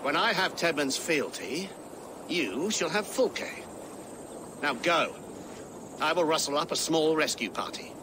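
A man's voice: high-pitched, background noise, full character voice. An actor playing a scheming, fussy older English churchman in a video game, talking in a wry, self-important, theatrical way. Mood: wary, calculating. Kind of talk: video game cutscene acting.

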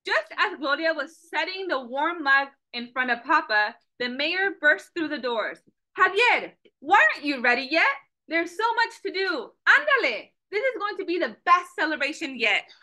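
A young woman reading a picture book aloud to children on a video call, with a lively questioning manner. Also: high-pitched, normal speed. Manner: animated, asks children questions, switches between English and Spanish. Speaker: storytime reader. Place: small office with a Mexico flag poster. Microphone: video call webcam.

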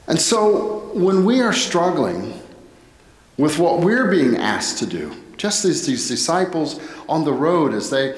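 American pastor preaching in an echoey church. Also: male voice, fairly high pitch. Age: middle-aged. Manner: deliberate, short phrases with pauses. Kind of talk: sermon. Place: indoor church, at a pulpit. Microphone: headset microphone. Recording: echoey church with long reverb.

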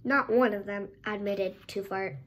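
A young girl reading a story aloud with dramatic, drawn-out emphasis, sometimes stumbling over words. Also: mid-range voice, steady tone, very noisy. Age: child, around 8 to 10. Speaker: child presenter reading a picture book aloud. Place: bedroom, indoors.

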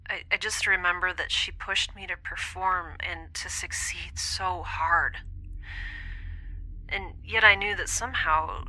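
A woman's voice: fairly low pitch, fairly even intonation, unhurried, sharp tone, full character voice, rough recording. A woman playing a lonely, wistful young space traveller in an intimate audio diary drama, hesitant and introspective, often dropping toward a whisper. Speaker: podcast audio drama lead.

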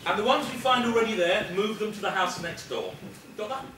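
A man's voice: high voice, brisk, close to an everyday voice. A man playing a scheming, instructing crook in an amateur stage pantomime, projecting his lines. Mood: bossy, scheming. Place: theatre stage, indoors. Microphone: distant camera in the audience. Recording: echoey theatre from far off, audience noise.

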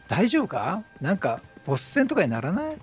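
A man's voice: high-pitched, wildly swooping pitch, brisk. A man chatting casually as he commentates on a video game, with game music behind him. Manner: chatty, reads dialogue aloud in acted voices. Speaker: let's play streamer. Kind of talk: casual game commentary with improvised character dubbing.

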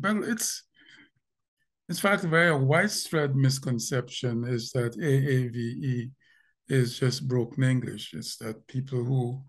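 An older man from Guyana with a Guyanese accent, speaking as a guest in a video call interview, thoughtful and pausing, often repeating words as he searches for them. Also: mid-range voice. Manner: slow, thoughtful, with frequent pauses and repeated words. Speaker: linguist guest. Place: home room indoors. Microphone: video call webcam.

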